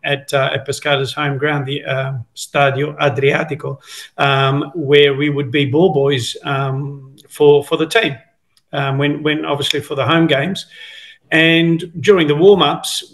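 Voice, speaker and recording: A man in his fifties who grew up in Italy speaks over a laptop video call from a room at home. His voice sits a little high and sounds bright and forward. He rambles through his memories with plenty of fillers and restarts. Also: low-quality recording. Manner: rambling storytelling with many fillers. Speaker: guest, former footballer. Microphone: video call, laptop camera.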